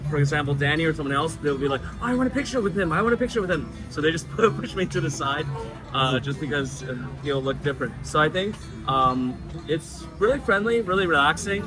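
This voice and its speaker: Man, guest, casual chat, somewhat high voice, clear music underneath. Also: fairly quick, rough recording. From United States. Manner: relaxed, frequent fillers and laughs.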